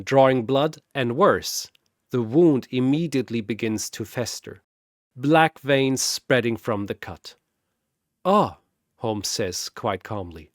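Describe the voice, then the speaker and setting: A man telling a scripted battle story in a measured, dramatic manner. He takes his time, and his pitch moves a lot through each line. The recording is dry and close. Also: no echo. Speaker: narrator.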